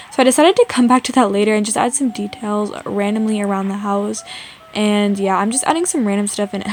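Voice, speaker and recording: A woman from Canada doing a casual, chatty voice-over as a video host, in informal speech peppered with "like". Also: fairly high pitch. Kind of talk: casual gaming voice-over narration.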